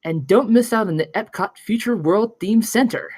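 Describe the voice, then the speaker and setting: A woman's voice: medium pitch, normal speed, a little mellow, slightly rough, no echo, clean studio sound, natural voice. A woman reading an inviting 1970s promotional film announcer in a script table read, with a polished sales delivery.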